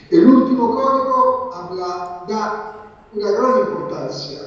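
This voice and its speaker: A man from Italy with an Italian accent, giving an academic talk in careful, halting non-native speech. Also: high voice. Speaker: conference speaker. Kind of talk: academic talk over video link. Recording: echoey distant lo-fi video call.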